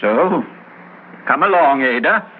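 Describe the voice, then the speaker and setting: A man's voice: mid-range voice, lively intonation, slow. An actor playing a pompous, domineering male biographer in an old radio drama. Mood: commanding. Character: pompous male biographer, self-important, grasping. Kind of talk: 1960s radio drama acting. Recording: muffled phone-like old radio broadcast, faint hiss.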